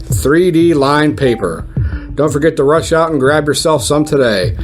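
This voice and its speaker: A man's voice: fairly high pitch, lively intonation, normal speed, over music, natural voice. A man playing an obtuse, cocksure radio reporter in a comedy, with a condescending manner. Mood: proudly announcing. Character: obtuse, smugly confident male radio news reporter. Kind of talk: comedic audio fiction, mock radio news.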